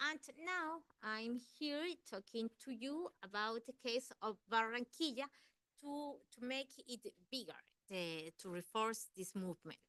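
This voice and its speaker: A woman's voice: on the high side, sharp tone, smooth, dry recording. A woman from Ecuador speaking English with an Ecuadorian Spanish accent, giving a seminar talk and answering questions earnestly, searching for words. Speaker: guest speaker, environmental campaigner.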